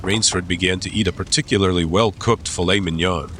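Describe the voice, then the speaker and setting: A man's voice: sits low, steady tone, a little fast, a bit bright, slight background noise. A man narrating a dramatized classic story as an audiobook, reading scripted literary prose.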